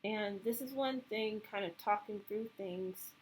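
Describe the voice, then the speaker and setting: A woman talking slowly through a painful personal memory, pausing often and searching for words. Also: mid-range voice, slight background noise, poor audio. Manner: halting, searching for words, many pauses and fillers. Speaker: vlogger. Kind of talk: personal reflective monologue.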